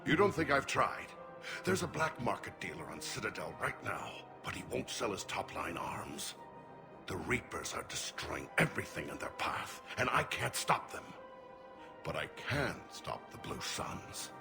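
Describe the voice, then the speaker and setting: Deep, grave old alien general. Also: male voice, very animated, unhurried, vocal fry, over music, full character voice. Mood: urgent, determined. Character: weary, dutiful older male turian alien general. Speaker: military general. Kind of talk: dramatic video game acting.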